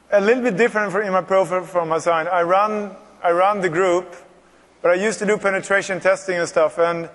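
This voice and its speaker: High-voiced man in his forties, joking. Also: fairly bright tone. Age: 40s. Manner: bantering, jokey, laughs often. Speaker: security presenter. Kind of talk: joking conference co-presentation. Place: large conference hall. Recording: long hall reverb.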